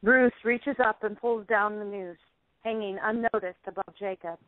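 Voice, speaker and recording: A woman's voice: mid-range voice, steady tone, normal speed, no room sound. Woman narrator on a phone-like line. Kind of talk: scripted stage-direction narration.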